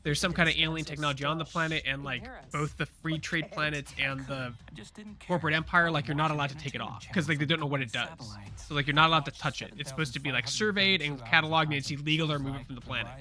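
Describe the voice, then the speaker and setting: A man co-hosting a pop culture show, chatting casually in a rambling, animated way with lots of fillers and restarts. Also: on the high side, fast talker. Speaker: co-host.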